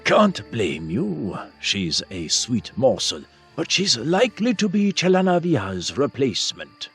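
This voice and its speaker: Man voicing a husky, sneering older brother. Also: mid-range voice, huge pitch swings, unhurried, fairly bright tone, heavily acted. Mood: sly. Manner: taunting, condescending. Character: sneering, bullying older half-brother in a fantasy tribe. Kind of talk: fantasy audiobook character voice. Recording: music behind the voice.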